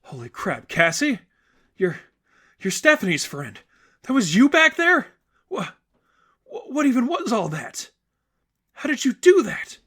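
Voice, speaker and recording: A man's voice: very high voice, big pitch swings, a little slow, long pauses, fairly bright tone, slightly rough, pitched above the performer's own voice, heavily acted. A man voicing a panicked, bewildered young woman in a story, with a stammering, exclaiming delivery. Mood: astonished.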